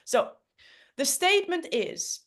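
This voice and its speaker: A woman's voice: extremely high pitch, animated, slow pace. A woman facilitating an interactive workshop in an encouraging, reassuring way.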